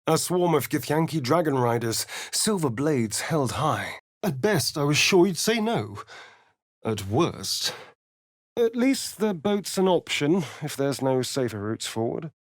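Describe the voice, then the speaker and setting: An actor playing a sardonic, foppish vampire spawn rogue in a video game, with an arch, theatrical, disdainful drawl. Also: male voice, fairly high pitch, very animated, measured pace, dry recording, clean studio sound. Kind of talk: video game voice acting, companion dialogue and narration.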